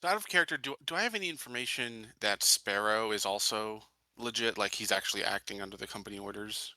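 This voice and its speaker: Sharp, forceful-voiced male game player. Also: on the low side, quick pace, dry recording, low-quality recording. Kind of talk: casual game table chat.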